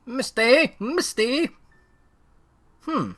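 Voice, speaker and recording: A man live-streaming a game, giving excitable, casual, slangy commentary and reading on-screen text aloud. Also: extremely high pitch, extremely slow, faint music behind.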